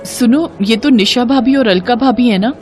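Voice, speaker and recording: Plaintive high-voiced young wife, played by a woman. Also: normal speed, a little mellow, faint music behind, lightly acted. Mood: hurt, puzzled. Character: young married daughter-in-law, hurt, puzzled and earnest. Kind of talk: Hindi cartoon family drama acting.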